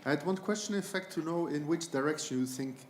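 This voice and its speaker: A man's voice: fairly high pitch, quick pace, a little hiss or hum. A man in the audience asking a long, rambling question, repeating "in fact". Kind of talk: question from the floor. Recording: roomy hall sound.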